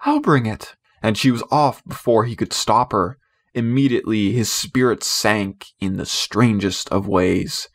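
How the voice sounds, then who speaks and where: A man's voice: lowish voice, steady tone, measured pace. A man reading a classic children's novel aloud as scripted audiobook narration. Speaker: audiobook reader.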